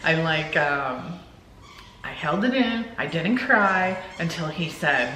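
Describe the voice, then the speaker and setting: A woman in a casual, informal film chat, quick to agree and react. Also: sits low, lively intonation, sharp tone. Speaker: co-host discussing a movie. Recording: roomy echo, faint background noise.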